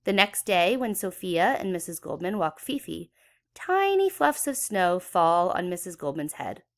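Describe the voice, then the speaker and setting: A woman's voice: fairly low pitch, big pitch swings, measured pace. A woman reading a children's story aloud expressively, with dramatic emphasis for young listeners. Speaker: children's book read-aloud educator.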